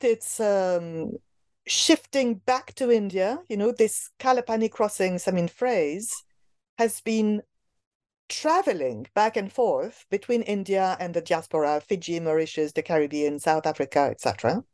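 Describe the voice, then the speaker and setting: Lady academic talking about her book, lowish voice, lots of ums and restarts, dry close sound. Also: darkish tone, no room sound. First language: not English. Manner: hesitant, many fillers and restarts. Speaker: book editor, interviewee. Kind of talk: academic interview.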